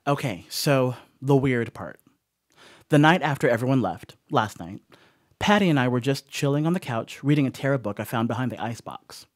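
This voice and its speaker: Sheepish young male stoner house-sitter played by a man, horror radio play. Mid-pitched, rambling, apologetic, closely and dryly recorded. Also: expressive, moderate pace, no room sound, clean studio sound, close to an everyday voice. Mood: uneasy storytelling. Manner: rambling, apologetic, casual asides. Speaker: voice actor. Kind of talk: audio horror monologue, conversational confessional.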